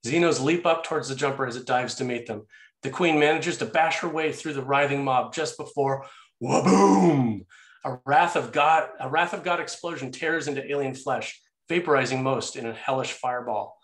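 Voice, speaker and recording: Guy reading screenplay action lines as narrator, normal pitch, echoey room. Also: moderate pace.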